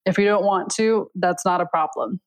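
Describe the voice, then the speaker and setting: A woman's voice: lowish voice, moderate pace, rough recording. A woman co-hosting a podcast, talking in an upbeat, playful way and laughing between lines as she explains a game segment. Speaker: podcast co-host.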